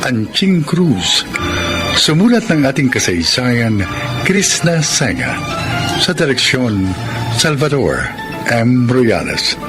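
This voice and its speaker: A man announcing radio drama credits in a formal, dramatic, measured scripted style. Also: medium pitch, big pitch swings, music in the background.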